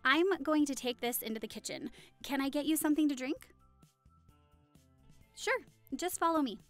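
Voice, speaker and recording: A woman's voice: sits high, moderate pace, faint music behind. A woman playing a friendly, affectionate young hostess in an audio roleplay, chatting cheerfully and reassuringly to the listener. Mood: welcoming. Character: friendly, affectionate young woman hosting a Halloween costume party.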